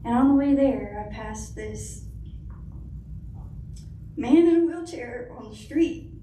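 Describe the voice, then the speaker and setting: Soft-voiced, unhurried middle-aged woman. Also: on the high side, expressive. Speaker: guest speaker, foundation founder. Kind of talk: personal testimony to an audience. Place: indoor conference room. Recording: roomy hall, distant mic, faint noise.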